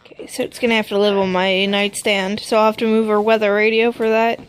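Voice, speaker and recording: A woman's voice: medium pitch, little pitch movement, fairly quick, a little hiss or hum, low-quality recording. A woman casually narrating an unboxing as a vlogger, informal, with muttered asides to herself. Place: indoors at home.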